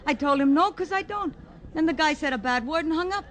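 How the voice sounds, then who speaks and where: High, brash American roller-derby girl. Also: animated, a little fast, fairly bright tone. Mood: breezy, chatty. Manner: chatty, literal-minded, cheeky. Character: brash, breezy, scatterbrained female roller derby skater. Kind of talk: 1950s radio comic character acting. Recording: old radio recording, crowd behind.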